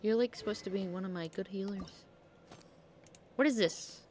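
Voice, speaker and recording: A woman's voice: mid-range voice, normal speed, darkish tone, slight background noise. A woman giving casual gameplay commentary as a streamer, talking to herself playfully and swearing casually. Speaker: game streamer.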